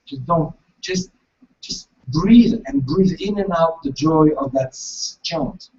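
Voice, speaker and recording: A man with a big voice, teaching a ritual step by step in a lively way and switching between French and English as he explains. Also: a little high, takes it slowly, muffled recording. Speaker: meditation teacher.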